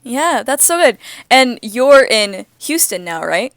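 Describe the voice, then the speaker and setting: Chatty giggly woman in her 20s, smooth clear voice, kinda groggy, dry close sound. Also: a little high, sing-song, slow pace, a bit bright, no echo. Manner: chatty, giggly, lots of "like" fillers. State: groggy morning voice. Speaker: podcast co-host. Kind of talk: casual chat.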